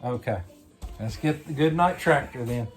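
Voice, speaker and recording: Low-voiced woman reading a bedtime story. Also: expressive, moderate pace, soft background music. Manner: simple questions and prompts addressed to a small child. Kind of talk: reading a picture book aloud to a young child, with chatty asides.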